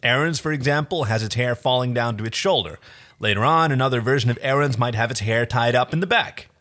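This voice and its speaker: A man's voice: mid-range voice, fast, a bit bright. A man hosting a fan fiction reading, moving between story narration and casual chatty asides. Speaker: podcast host reading fan fiction aloud.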